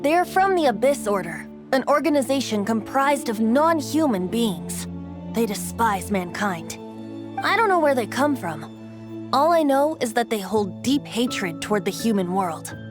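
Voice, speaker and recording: A woman's voice: a little high, sing-song, measured pace. A woman voicing a playful, secretive young male bard in a video game cutscene, talking in a lilting, teasing way. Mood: solemn. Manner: lilting, teasing, then soft and solemn. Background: game soundtrack music.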